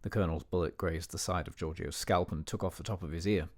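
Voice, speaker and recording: Low-voiced, dignified older gentleman narrator played by an actor. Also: fairly even intonation. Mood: measured, reflective. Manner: measured, dignified, censorious. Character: disapproving, educated older man narrating a memoir. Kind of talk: formal scripted radio play narration.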